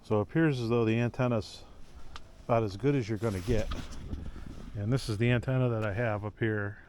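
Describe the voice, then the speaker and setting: A man's voice: fairly low pitch, a little fast. Plainspoken man vlogging, noisy vehicle background. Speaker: vlogger testing CB radio gear. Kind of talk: casual vlog narration and demonstration. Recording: steady background noise, vehicle sounds.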